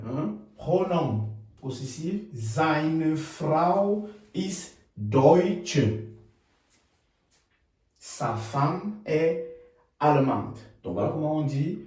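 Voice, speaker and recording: A man from Cameroon teaching German in French, repeating phrases slowly and adding checking tags like "hmm?". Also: on the high side, darkish tone, heavy reverb, far from the mic. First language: Ngamba. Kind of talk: bilingual language lesson.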